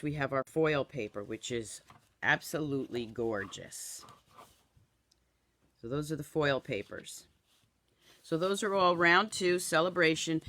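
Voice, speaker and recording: A woman in her fifties, hoarse and raspy from a cold, chatting through a live craft demo and greeting viewers by name in a home craft room. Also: deep voice, fairly bright tone. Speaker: craft product demonstrator. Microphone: camera in front, then overhead camera.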